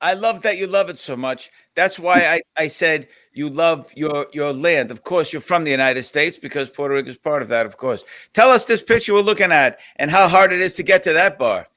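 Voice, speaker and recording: A man's voice: a little high, animated, brisk, few pauses, pushed and bright. A man hosting a video call chat, upbeat and enthusiastic, asking his guest questions. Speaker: host.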